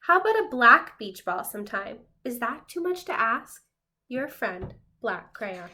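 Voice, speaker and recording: Woman playing a resentful, slighted storybook crayon, high voice. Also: sing-song, measured pace, bright, forceful tone, full character voice. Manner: aggrieved, emphatic.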